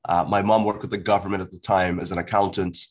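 A man from Ghana with a somewhat low voice, speaking as a guest in a relaxed interview. He tells his story in a reflective, measured way and takes his time over each thought. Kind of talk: casual interview conversation.

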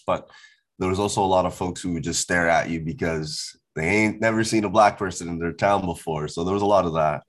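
Reflective lower-voiced man from Ghana. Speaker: interview guest. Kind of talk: casual interview conversation.

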